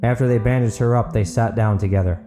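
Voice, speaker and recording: A man's voice: lowish voice, steady tone, fast, over music. A man reading scripted dramatic fiction as an audiobook narrator.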